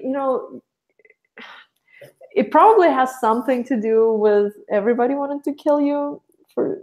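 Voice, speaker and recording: A woman's voice: fairly high pitch, darkish tone. A young woman from Russia, a podcast guest talking casually and rambling with many restarts.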